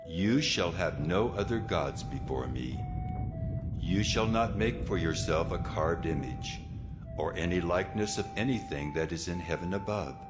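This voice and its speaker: Casting: a commanding deity in scripture drama, deep voice, grave and deliberate, over prominent music. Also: male voice. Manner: solemn, measured, authoritative. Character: God, solemn commanding male deity giving laws. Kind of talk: dramatized scripture audio acting. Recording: music under the voice.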